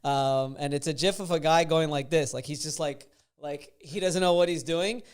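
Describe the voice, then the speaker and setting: Chatty man with a smooth, higher voice. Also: normal speed. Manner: energetic, chatty, laughs easily. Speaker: podcast host. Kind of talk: casual podcast interview.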